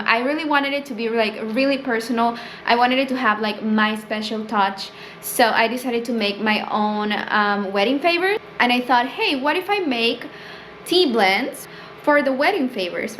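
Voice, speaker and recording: Casting: young Peruvian woman, native Spanish speaker, slightly high voice, casual talky vlogger, faint room hiss. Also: normal speed, fairly bright tone. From Peru. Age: young adult. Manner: chatty, fills with "like" and "um", rises for quoted thoughts. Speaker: small business owner vlogger. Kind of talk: casual chat to camera. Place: small indoor room with tea stock shelf.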